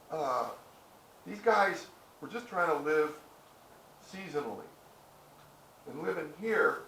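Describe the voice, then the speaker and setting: A man's voice: a little high, a bit bright. A middle-aged man giving a casual, rambling talk to a small audience, full of restarts and asides. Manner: rambling, folksy, many restarts. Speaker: presenter. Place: outdoor historic site with listeners. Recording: roomy, distant mic with background noise.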